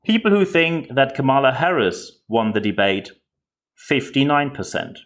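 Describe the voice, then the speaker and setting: A man's voice: mid-range voice, very animated, a little slow, a bit bright. A man with a German accent, speaking English as a second language, hosting a podcast and giving direct, earnest commentary with frequent repeated words. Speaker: podcast host.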